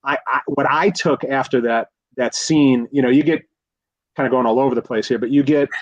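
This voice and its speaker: Male guest expert, mid-pitched, analytical but casual, circles back on his words, muffled lo-fi sound. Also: fairly even intonation, no echo. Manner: halting, repeats words while thinking aloud.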